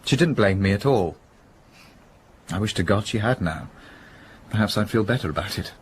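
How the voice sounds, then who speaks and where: An actor playing a contrite, upper-class businessman in a period radio drama, speaking with polite, halting restraint. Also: lowish voice, expressive, slight background noise, close to an everyday voice. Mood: remorseful.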